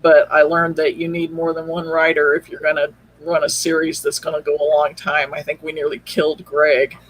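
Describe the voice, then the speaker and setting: A man speaking as a podcast guest and producer in casual, halting chat, thinking aloud. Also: fairly high pitch, monotone, fairly bright tone, rough recording.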